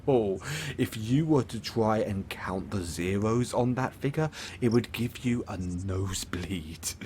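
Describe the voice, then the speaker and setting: A man's voice: on the low side, a little hiss or hum. A man playing a theatrical, boastful supervillain who gets flustered and stammers, in an audio roleplay monologue. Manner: pompous, stammering, dramatic swoops and villainous chuckles.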